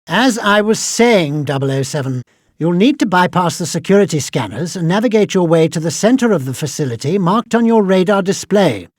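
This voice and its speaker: Fussy high-voiced British gadget quartermaster. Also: male voice, lively intonation, brisk, no echo, clean studio sound. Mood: haughty briefing. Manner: pompous, clipped, sardonic nagging. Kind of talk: scripted video game mission briefing.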